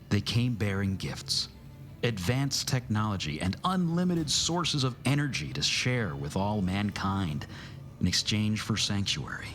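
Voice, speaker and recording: An actor playing a grave, battle-weary narrator of an alien war in a motion comic, solemn, measured and deliberate. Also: male voice, lowish voice, close to an everyday voice. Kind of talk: dramatic scripted narration. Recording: music behind the voice.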